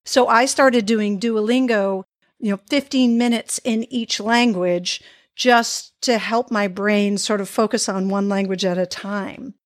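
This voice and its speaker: An American-born woman hosts an interview, cheering her guest on and winding through long, chatty questions. Her voice sits at an ordinary pitch, recorded dry and close with almost no room sound. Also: fairly even intonation, a little slow, no echo. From United States. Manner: enthusiastic, affirming, long rambling questions. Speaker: interview host. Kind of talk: casual interview conversation.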